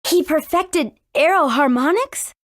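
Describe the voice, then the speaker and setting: A woman playing a spirited, earnest young heroine in a video game, with an expressive, questioning delivery. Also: sits high, unhurried, dry recording, clean studio sound. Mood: puzzled. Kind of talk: English video game voice acting.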